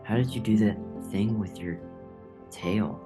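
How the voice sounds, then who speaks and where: Man as a naive little pet mouse, lowish voice, earnest, pleading, audio drama, music underneath. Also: steady tone, measured pace, close to an everyday voice. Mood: naive, curious.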